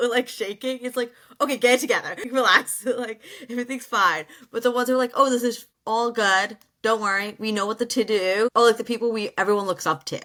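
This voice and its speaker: A woman's voice: mid-range voice, fairly bright tone. A woman hosting a podcast, chatting casually and packing her talk with "like". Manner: chatty, many "like" fillers, laughs.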